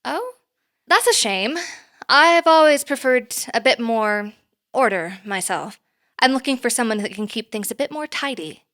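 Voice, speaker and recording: A woman playing a courteous, businesslike manager in an audio drama, speaking in a formal, measured way. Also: a little high, lively intonation, a bit bright. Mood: poised, businesslike. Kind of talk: naturalistic audio drama acting.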